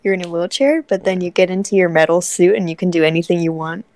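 A woman's voice: lowish voice, fairly even intonation, fast talker, a little mellow, no echo. A young woman co-hosting a casual podcast, teasing her friends in a playful, giggly way. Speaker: podcast co-host. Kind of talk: casual chat among friends.

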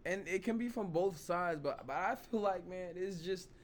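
Man, vlog host, hoarse voice, rambling casual talk, faint ambient hum. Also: high voice, moderate pace. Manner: loose, rambling, full of "you know" and "like". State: hoarse, losing his voice. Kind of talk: casual opinion talk to camera.

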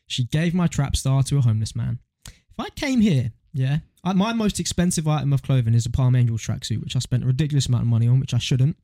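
A man's voice: medium pitch, expressive, fast talker, warm tone, dry recording, clean studio sound. A young man co-hosting a podcast, bantering casually in a slangy, jokey, incredulous way. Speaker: podcast co-host. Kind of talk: casual banter, reacting to submitted stories.

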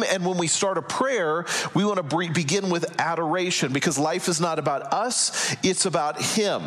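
Pastor preaching with a loud, projecting voice. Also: male voice, high-pitched. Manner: conversational, wry humor then earnest teaching. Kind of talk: sermon. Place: church sanctuary. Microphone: no microphone, loud projecting voice.

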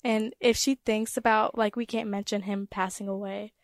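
A teenage high school girl, a guest in a casual interview, chatting with frequent fillers. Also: level tone, normal speed, no room sound, studio quality. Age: teens.

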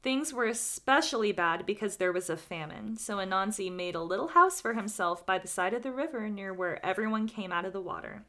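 A woman's voice: medium pitch, lively intonation, a little fast, few pauses, bright, forceful tone. A woman co-hosting a podcast, telling stories casually with chatty asides. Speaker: podcast co-host.

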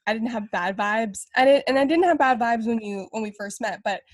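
A woman's voice: a little high, fairly quick, dry recording. Young woman co-host, casual and self-deprecating, filler-heavy, dry and close on the mic. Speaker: podcast co-host. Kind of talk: casual chat.

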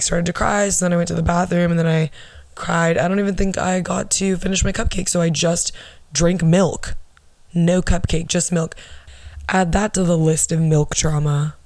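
A young woman hosts her own podcast with a low alto voice, in a casual, rambling way. She swears freely and pokes fun at herself. The sound is dry and close, with no room around it. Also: fairly even intonation, moderate pace, darkish tone, dry recording. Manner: rambling, profane, self-mocking. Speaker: podcast host. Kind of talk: casual storytelling monologue.

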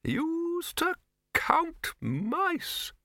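Ancient, high-pitched querulous wizard. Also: male voice, huge pitch swings, pushed and bright, dry recording, studio quality, exaggerated voice. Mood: rambling, reminiscing. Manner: quavering, drawn-out, hesitant, repeating himself. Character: ancient querulous doddering male wizard in a wheelchair.

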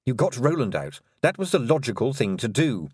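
A man voicing a young girl's inner voice of reason, insistent and urgent as it argues its case, rising and falling with lively pitch. The reading is dry and close, a storybook voice barely set apart from the teller's own. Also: fairly quick, slightly rough, no echo. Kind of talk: audiobook character voice.

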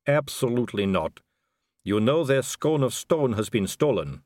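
A man voicing an aristocratic lady vampire in an audiobook, smooth, measured, knowing and condescending. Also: mid-range voice, big pitch swings, darkish tone, no room sound, studio quality, voice pushed up, natural voice. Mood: smooth, diplomatic. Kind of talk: audiobook character dialogue.

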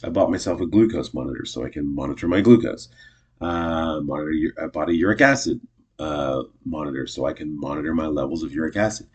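A man's voice: deep voice, a little mellow, husky, dull audio. A man in his fifties talking casually to camera, rambling and correcting himself often in an upbeat way. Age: 50s. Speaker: vlogger.

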